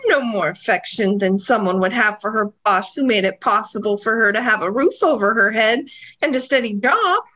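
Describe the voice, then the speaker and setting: A woman's voice: medium pitch, animated, moderate pace, sharp tone. A woman playing an eager American club singer in an amateur radio mystery, earnest, polite and a little gushing. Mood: earnest, wistful.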